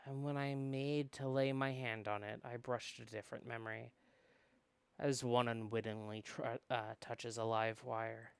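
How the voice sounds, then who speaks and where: A man's voice: medium pitch, level tone, unhurried, vocal fry, clear, resonant tone, dry recording. A man reading visual novel narration aloud in a dramatic storytelling style.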